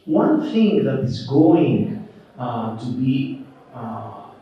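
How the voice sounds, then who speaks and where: A man with a Greek accent giving a relaxed, conversational conference keynote, dotted with "okay" and "uh". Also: medium pitch, drawn-out words. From Greece. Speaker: keynote speaker. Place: conference hall. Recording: roomy, distant, dull hall recording.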